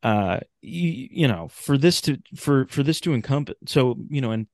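Man with gravelly fry, halting and rambling. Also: medium pitch, animated, no echo. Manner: halting, rambling, repeats and restarts phrases. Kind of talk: casual podcast conversation.

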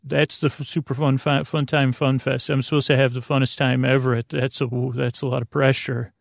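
A man with a gravelly voice, hosting a bedtime podcast in a halting, meandering monologue that repeats itself and trails off. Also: medium pitch, flat delivery, no echo, clean studio sound. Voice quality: gravelly, creaky. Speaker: podcast host.

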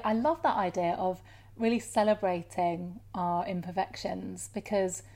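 A woman hosts an interview in an easy, conversational way. She affirms what her guest says and ties it to everyday examples from her own life. Her voice sits at an ordinary middle pitch. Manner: warm, affirming, shares personal examples. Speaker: podcast host.

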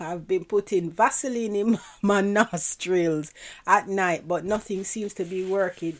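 Congested woman podcasting a devotional. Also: on the low side. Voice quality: congested, nasal. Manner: chatty, repeats herself, self-correcting; prays softly and earnestly. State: stuffy nose from hay fever. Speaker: podcast host. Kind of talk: devotional podcast talk with prayer.

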